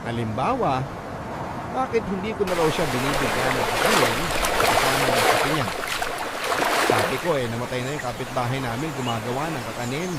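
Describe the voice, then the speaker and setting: A man's voice: medium pitch, lively intonation, a little fast, heavy background noise. A man narrating a personal letter in the first person, as a dramatic storyteller. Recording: loud music under the voice.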